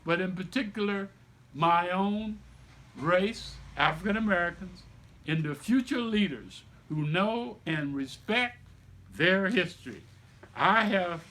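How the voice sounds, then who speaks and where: A middle-aged man reading a book aloud like a storyteller, with emphatic, deliberate phrasing and explanatory asides to listeners. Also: high voice, pushed and bright. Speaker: author reading own children's book aloud.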